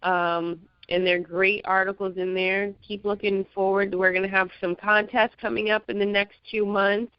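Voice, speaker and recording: A woman guest on a radio talk show, a magazine publisher, talking in a friendly, relaxed way. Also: mid-range voice, moderate pace, hardly any pauses, clean tone, dry recording. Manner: friendly, relaxed, light laughs. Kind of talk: casual interview chat. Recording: dull lo-fi sound, dry.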